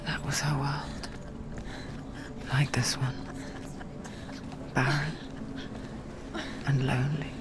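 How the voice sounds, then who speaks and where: A woman playing an intimate narrator inside a heroine's mind in a video game, breathy, solemn and deliberate. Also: rumbling low, flat delivery, noisy background. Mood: melancholy. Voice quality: breathy whisper. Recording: loud music underneath.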